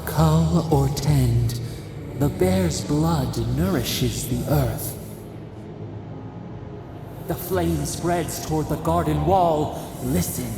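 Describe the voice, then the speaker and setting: A performer voicing an ancient spirit in a video game, speaking cryptically and solemnly in halting fragments as a ghostly echoing voice in the head. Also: medium pitch, background noise, heavily acted. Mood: cryptic, brooding. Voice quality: creaky, gravelly. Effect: long ghostly reverb. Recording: heavy reverb under music. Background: music.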